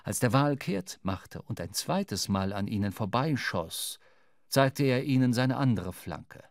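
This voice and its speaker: A man reads literary narration for a radio play. His voice sits at an average pitch and he tells the story in a measured, dramatic way. The sound is very dry, with no room around it. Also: expressive, creaky, dry recording.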